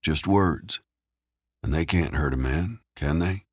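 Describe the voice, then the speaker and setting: A man voicing a cold, enigmatic stranger who speaks in a measured, formal, chilling manner in an audiobook thriller. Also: extremely low pitch, no echo, studio quality, exaggerated voice. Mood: cold, controlled. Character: cold, enigmatic, soft-menacing American man of few words.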